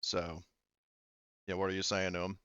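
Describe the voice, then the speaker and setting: Casting: male game master, low and clear, casual table talk, dry and close recording. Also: completely flat delivery, moderate pace, fairly bright tone, no echo, muffled recording.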